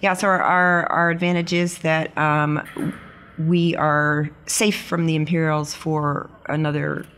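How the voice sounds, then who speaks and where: A woman's voice: sits low, level tone, measured pace, a bit bright. A woman chatting casually as a player in a tabletop game.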